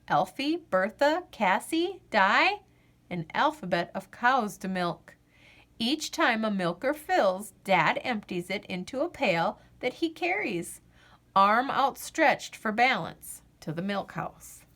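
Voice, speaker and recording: A woman reading a children's picture book aloud as a storyteller, with expressive, deliberate phrasing and drawn-out refrains. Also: medium pitch.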